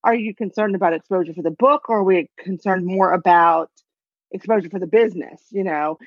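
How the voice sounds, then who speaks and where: A woman's voice: lowish voice, a little fast, no echo, dull audio. A woman co-hosting a podcast in casual chat, talking loosely with many "you know"s and "um"s. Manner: chatty, lots of fillers like "you know". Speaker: podcast co-host.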